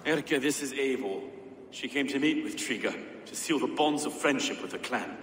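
An actor playing an earnest, courteous medieval English reeve in a video game, with old-fashioned polite phrasing. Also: male voice, mid-range voice, lively intonation, normal speed, a bit bright, slight background noise, natural voice. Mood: solemn. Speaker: sheriff. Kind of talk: dramatic video game cutscene acting.

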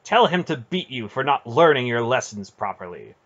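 A man's voice: lowish voice, unhurried, sharp tone. An ancient sorceress aunt, acted out by a male narrator, stern and imperious. She speaks with cutting, commanding intensity, as in a fantasy storybook. The recording is slightly dull and muffled. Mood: scathing. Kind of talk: dramatic audiobook character voice.

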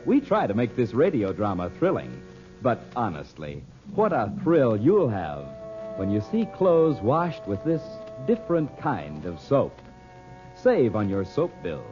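A man announcing an old-time radio show in an emphatic, deliberate scripted style. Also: fairly low pitch, huge pitch swings. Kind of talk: 1930s-40s radio announcing, scripted sales pitch and narration. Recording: muffled noisy old radio, loud music.

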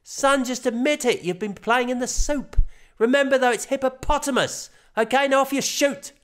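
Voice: high-pitched, pitched above the performer's own voice, natural voice. A storyteller voicing a patient young father who keeps correcting his son's word. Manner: insistent, correcting, repeats words slowly.

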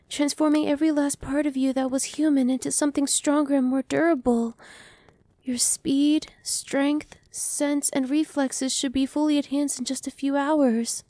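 A soft-spoken young vampire woman, played by a young woman in an audio roleplay. Her voice is high yet mellow, slow and reassuring, slipping into whispers, recorded close and dry. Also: little pitch movement, dry recording, close to an everyday voice. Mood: soothing. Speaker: voice actress. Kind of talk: ASMR roleplay.

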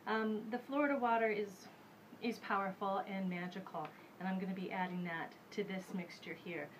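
A woman's voice: mid-range voice, moderate pace, noisy background. A woman in her thirties hosting a casual how-to demonstration in her home kitchen. She chats loosely and thinks aloud with plenty of fillers. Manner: chatty, relaxed, thinks aloud with many fillers. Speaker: host of a home witchcraft show. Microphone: camera mic at medium distance.

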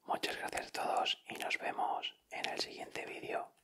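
A man's voice: normal speed, pushed and bright. A young man with a Castilian Spanish accent whispering in ASMR style as a host, addressing his viewers directly and without hurry.

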